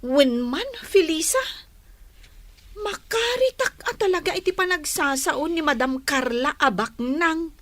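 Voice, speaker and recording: An actress playing a young student, a loyal and plaintive friend, in a radio drama. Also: female voice, high voice, lively intonation, measured pace, a bit bright. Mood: hurt, agreeing. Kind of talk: Ilocano radio drama acting.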